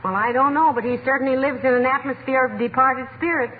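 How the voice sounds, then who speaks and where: A woman's voice: sits high, fast, narrow, tinny audio, lightly acted. A woman with an American accent playing a sensible, wry wife in a 1940s radio comedy. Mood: wry, chiding. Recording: scratchy, thin, hissy 1940s radio recording.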